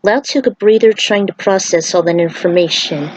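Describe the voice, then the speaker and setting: A woman's voice: lowish voice, moderate pace, a little hiss or hum. A woman reading a story aloud as narrator, occasionally stumbling over a word. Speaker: fan fiction reader.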